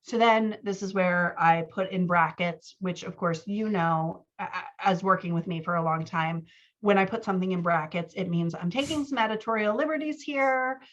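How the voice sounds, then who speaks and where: A woman's voice: on the low side, moderate pace, fairly bright tone, highs cut off. A woman giving informal one-on-one writing feedback as a coach, explaining her edits and acting out example lines.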